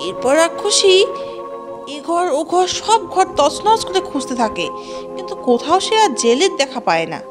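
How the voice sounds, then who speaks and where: High-pitched woman storyteller. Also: sing-song, normal speed, music in the background. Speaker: narrator. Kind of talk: scripted children's story narration.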